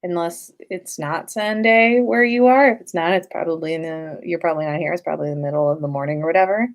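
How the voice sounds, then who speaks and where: Expressive, playful woman reading and chatting. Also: on the low side, fast. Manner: giggly, playful, informal. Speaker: podcast host and story reader. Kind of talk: livestream story reading and casual chat.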